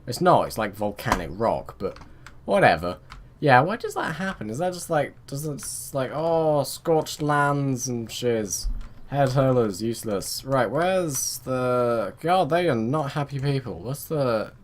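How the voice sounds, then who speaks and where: A man's voice: mid-range voice, animated, measured pace, a little hiss or hum. A man giving casual let's play commentary, rambling and muttering to himself as he plays, joking at his own expense. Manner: self-mocking, chatty.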